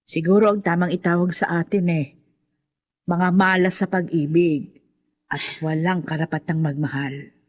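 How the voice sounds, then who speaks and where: A woman's voice: lowish voice, fairly even intonation, normal speed, muffled recording, close to an everyday voice. An actress playing an anxious, sorrowful mother of a grown daughter in a radio horror drama, speaking emotively and hesitantly. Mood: resigned, bitter.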